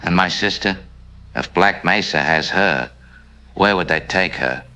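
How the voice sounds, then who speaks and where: A man's voice: deep voice, a little slow, bright, forceful tone, a little hiss or hum. An actor playing a determined man set on rescuing his sister in an audio drama, terse, intense and resolute. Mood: anxious, determined.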